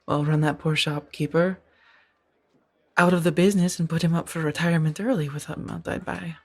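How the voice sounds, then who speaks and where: A woman acting in a soft-spoken ASMR roleplay as a kind, conflicted former warrior monk turned farmer, hesitant and earnest. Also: low voice, fairly even intonation, a little fast. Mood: playful teasing.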